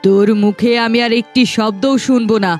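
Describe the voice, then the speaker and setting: A woman's voice: fairly high pitch, brisk, a little mellow, faint music behind, natural voice. A woman voicing a cruel middle-aged stepmother in a fairy-tale cartoon, harsh, accusing and commanding as she scolds. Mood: angry scolding.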